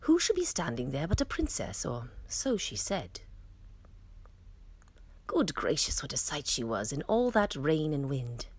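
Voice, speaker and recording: A woman reading a fairy tale aloud as a storytime reader, in an expressive, dramatic manner. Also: low voice, measured pace, breathy edge. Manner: dramatic storytelling with emphatic swells.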